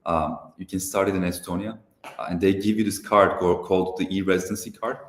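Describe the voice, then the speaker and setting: A young man from Turkey chats as a guest on a live stream, relaxed and unhurried, filling pauses with "uh". His voice sits very low. The video-call audio carries a noticeable room echo. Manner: relaxed, hesitant, many "uh" fillers. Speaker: guest, software founder. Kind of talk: casual interview over a live stream.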